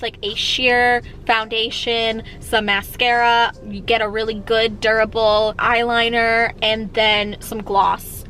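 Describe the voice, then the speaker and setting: Bright-voiced, higher-pitched woman. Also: a little hiss or hum. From Czech Republic, later the United States. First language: Czech. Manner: candid, colloquial, sprinkled with "like". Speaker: vlogger answering viewer questions. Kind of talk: casual chat, Q&A to camera.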